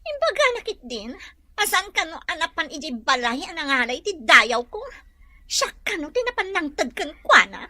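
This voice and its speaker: High, animated, scornful woman acted out. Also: moderate pace, no room sound, heavily acted. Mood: bitter, vengeful. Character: scorned, vengeful woman in a family drama. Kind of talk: Ilocano radio-style drama acting.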